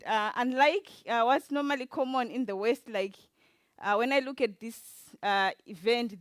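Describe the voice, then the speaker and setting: Zimbabwean woman giving a halting talk. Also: a little high, no echo. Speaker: conference speaker, event organizer. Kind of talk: informal lightning talk presentation. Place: conference talk before an audience.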